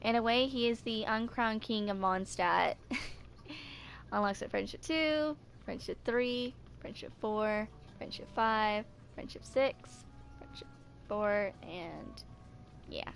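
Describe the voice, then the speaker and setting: A woman's voice: on the high side, animated, slow, vocal fry. A woman in her twenties reading game text aloud in a casual way, as a gaming video creator. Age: 20s.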